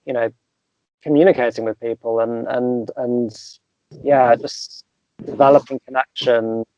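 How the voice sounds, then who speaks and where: A young man, a coach guest in an interview, talking thoughtfully with many pauses, fillers and restarts. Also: medium pitch, fairly even intonation, warm tone, dry recording, lo-fi sound. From England. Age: young adult. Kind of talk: casual interview chat.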